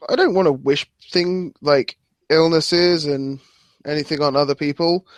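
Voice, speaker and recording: A man co-hosts a podcast and adds short, easygoing remarks to the casual talk. His voice is a touch high and recorded dry and close. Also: measured pace, no echo. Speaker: podcast co-host. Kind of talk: casual chat.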